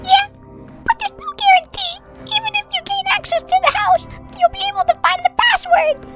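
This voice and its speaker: A woman voicing an earnest, protective friend with a squeaky, childlike voice in a film drama dub. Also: fairly even intonation, moderate pace, fairly bright tone, faint music behind. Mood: worried, tender.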